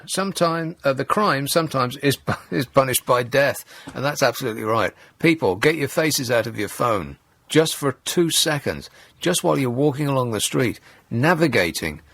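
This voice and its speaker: A radio host with a lively, swooping delivery and a wry, sarcastic edge. He reads out listener messages and draws callers out. He sits close to a studio mic in a dead, dry booth. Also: medium pitch, brisk, creaky, few pauses, no echo. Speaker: radio talk show host. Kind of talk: late-night radio phone-in.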